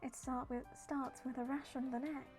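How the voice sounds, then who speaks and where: Woman voicing a blunt, high-voiced sickly villager. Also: level tone, moderate pace, darkish tone, faint music behind, lightly acted. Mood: questioning. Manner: blunt, questioning.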